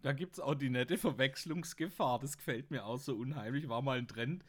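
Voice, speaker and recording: A man's voice: mid-range voice, normal speed. A young man telling rambling anecdotes casually, often laughing at his own stories. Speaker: podcast host.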